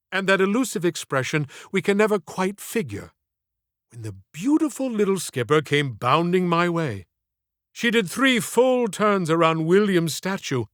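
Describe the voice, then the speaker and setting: A man's voice: on the high side, a bit bright, dry recording, studio quality. A man voicing an ailing middle-aged stage actor in an audiobook, speaking in an ornate, reflective, wistful way. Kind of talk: audiobook character dialogue.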